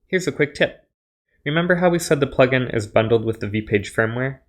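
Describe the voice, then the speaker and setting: A man with a mid-pitched voice reads scripted instructional narration. He presents like a tutorial guide, explaining each step in a measured, orderly way. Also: expressive.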